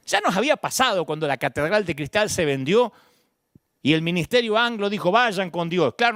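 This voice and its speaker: A middle-aged man with a Buenos Aires Argentine accent, preaching a sermon as pastor, expressive and emphatic, swinging between high peaks and low asides. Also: creaky, no room sound, clean studio sound. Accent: Argentine Spanish, Buenos Aires.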